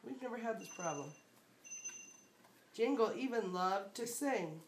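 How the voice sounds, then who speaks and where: A woman's voice: a little high, animated, measured pace. A woman talking casually and giving instructions, prompting a young reader line by line. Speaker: adult coaching a child reader. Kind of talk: casual family talk and prompting. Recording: echoey room, faint background noise.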